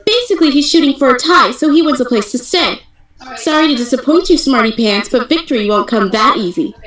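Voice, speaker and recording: Casting: proud anime noblewoman, fiery and indignant, very high voice with lively swings, roomy sound. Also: brisk. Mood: scheming, smug. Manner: indignant, shrill, dramatic outbursts. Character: hot-tempered, proud young noblewoman who wants the kingdom. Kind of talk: anime fandub script reading.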